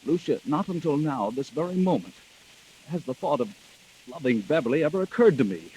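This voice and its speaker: Troubled husband, swooping dramatic delivery. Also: male voice, a little high, big pitch swings, fairly quick, darkish tone. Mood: angry, scornful. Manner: dramatic, emphatic. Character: earnest, troubled husband accused of unfaithfulness. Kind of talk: 1940s radio mystery acting. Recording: hissy, noisy old radio recording.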